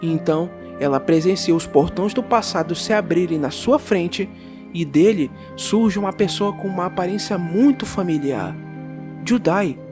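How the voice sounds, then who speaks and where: A Brazilian-accented man narrates over clear background music. His voice is high for a man, with a theatrical, epic delivery suited to scripted fantasy drama. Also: animated, normal speed.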